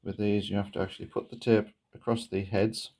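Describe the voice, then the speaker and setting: Male demo presenter, casual and hesitant, soft-edged dark tone with noticeable fry. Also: on the low side, little pitch movement, brisk. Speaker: presenter of a gadget demonstration video. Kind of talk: casual hands-on demonstration, explaining step by step. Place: indoors at a wooden table.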